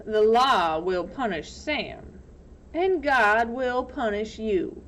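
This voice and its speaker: A woman voicing a drunken, lecherous male small-town sheriff with a slow, smug drawl in a storybook reading. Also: a little high, animated, a bit bright. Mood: taunting.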